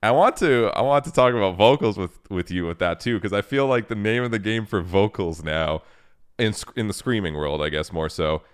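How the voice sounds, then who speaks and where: A man with a very low talking voice, hosting a casual chat and swearing often. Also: brisk, smooth. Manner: swears often, animated and laughing. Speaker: podcast host.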